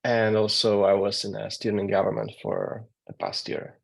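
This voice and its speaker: Student guy, low and gravelly, just states it. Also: sing-song, moderate pace. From Poland. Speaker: student, film festival co-host.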